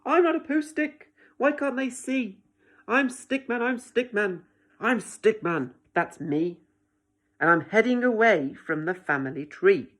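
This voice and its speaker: A woman's voice: a little high, expressive, unhurried, voice pushed up. A woman voicing an indignant little living stick man in a storybook, plaintive and emphatic as they chant protests in rhyme. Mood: distressed.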